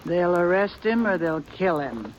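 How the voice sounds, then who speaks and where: A grim old granny's slow warning. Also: female voice, lowish voice, sing-song, highs cut off, a little hiss or hum. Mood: ominous. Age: older. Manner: slow foreboding drawl. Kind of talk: 1950s radio drama acting.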